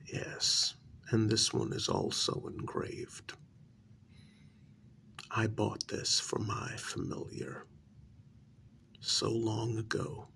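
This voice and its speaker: A low, gravelly male vampire lord acted by a man in an ASMR role-play. He speaks in a breathy half-whisper with heavy creak, aristocratic and mock-polite. The threat is cold and deliberate, with faint hiss behind the voice. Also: fairly bright tone, heavily acted. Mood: quiet menace. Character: menacing aristocratic male vampire master, coldly vengeful.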